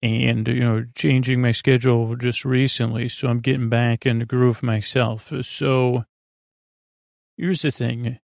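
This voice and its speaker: A man hosts a sleep podcast in a mid-pitched, creaky voice. He wanders through tangents with hesitant pauses and low-pressure reassurance. The sound is dry, with very little room around it. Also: little pitch movement, a little mellow, dry recording. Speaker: podcast host. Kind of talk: rambling bedtime sleep podcast monologue.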